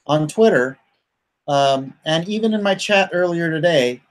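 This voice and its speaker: A middle-aged man with a nasal voice, chatting casually as the host of a livestream. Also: a little high. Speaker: host, comic artist.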